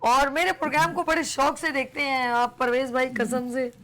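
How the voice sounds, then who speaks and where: A woman's voice: high voice, fairly even intonation, moderate pace, a bit bright, rough recording. A woman with a Pakistani Urdu accent hosting a live morning TV show, chatting and teasing playfully, her throat a little sore. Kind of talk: casual live TV chat.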